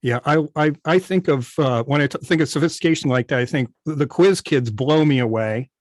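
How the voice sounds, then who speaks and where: A man chatting casually about his hobby, halting but keen on the details, on a video call. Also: a little high, no room sound, clean studio sound. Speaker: old-time radio station operator, guest. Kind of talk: casual hobby club chat.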